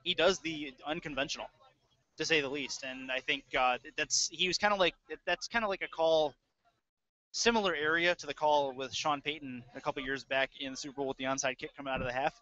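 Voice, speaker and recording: Male football play-by-play commentator, forward bright tone, clipped and casual, slightly muffled webcast sound. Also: on the high side, a little fast. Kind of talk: live American football commentary. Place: indoor audio-only webcast. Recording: dull lo-fi audio.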